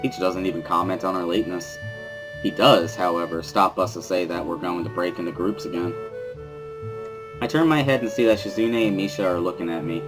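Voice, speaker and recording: Low-voiced man narrating over music. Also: a little fast, rough recording. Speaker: narrator of a dramatic reading. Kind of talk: first-person scripted narration of a visual novel.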